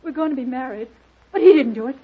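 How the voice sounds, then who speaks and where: Desperate young daughter: high, pleading, melodramatic radio-play delivery on a dull lo-fi recording. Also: female voice, fast talker, slightly rough. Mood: frantic, pleading. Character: frantic young daughter pleading to protect her boyfriend. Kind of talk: radio detective drama acting.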